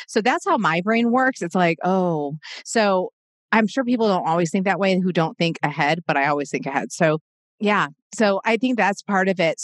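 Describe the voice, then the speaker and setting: A woman's voice: on the low side, no echo, studio quality. A woman in her forties chatting casually as a podcast co-host, reflective and full of fillers and restarts. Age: 40s. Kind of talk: casual chat.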